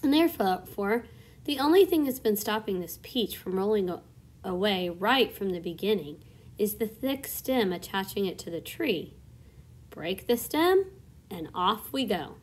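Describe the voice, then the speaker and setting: A woman doing a gentle kindly ladybug. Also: medium pitch, expressive, unhurried, slightly rough. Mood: kind, reassuring.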